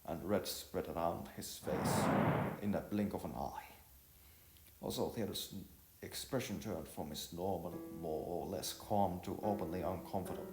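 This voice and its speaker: Low-voiced man narrating in a roomy echo. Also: darkish tone. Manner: halting, word-repeating delivery. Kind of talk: reading an audiovisual novel aloud. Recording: roomy echo with music behind. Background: music.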